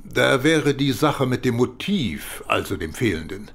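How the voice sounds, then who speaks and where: A man acting in a German radio play as a middle-aged, gentlemanly British police chief who speaks formally and deliberately. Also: medium pitch, very animated, creaky, breathy edge, heavily acted. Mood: measured, thoughtful.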